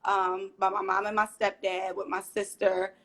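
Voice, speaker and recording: A woman's voice: medium pitch, little pitch movement, moderate pace, fairly bright tone. American woman from philly, mid-range voice, just chatting casually like with a friend. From born in Trinidad and Tobago, raised in Philadelphia, USA. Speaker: interview guest, small business owner and hairstylist. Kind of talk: casual conversation.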